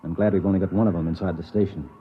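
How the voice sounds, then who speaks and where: An actor in a 1950s radio drama playing a brusque, arrogant space explorer, hard-boiled and edgy. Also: male voice, low-pitched, steady tone, racing, natural voice. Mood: grumbling, cocky. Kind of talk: 1950s radio drama acting with first-person narration. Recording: narrow, tinny, noisy 1950s radio recording.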